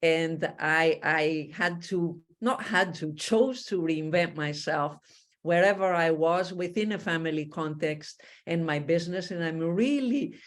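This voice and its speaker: Middle-aged woman with a fairly low voice, speaking as a panel guest. She is measured and reflective, choosing her words with care. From Greece.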